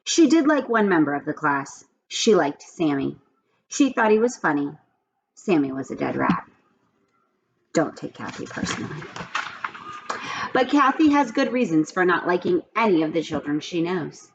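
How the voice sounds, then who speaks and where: A woman's voice: on the low side, very animated, normal speed, gravelly, long pauses, slightly rough, dull audio. A woman reading a children's storybook aloud, expressively and with asides, speaking straight to young listeners.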